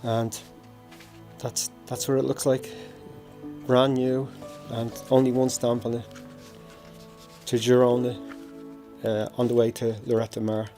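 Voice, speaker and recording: A man in his sixties with a Dublin Irish accent gives a personal memorial tribute in a measured, reminiscing way. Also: mid-range voice, little pitch movement, dark tone, faint music behind. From Dublin, Ireland. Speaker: bereaved brother giving a tribute.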